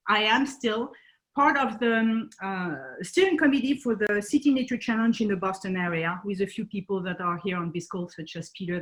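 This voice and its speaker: A woman's voice: medium pitch, low-quality recording. A woman from France with a French accent, presenting at an online talk as a nonprofit founder, speaking with many hesitations and restarts over a video call.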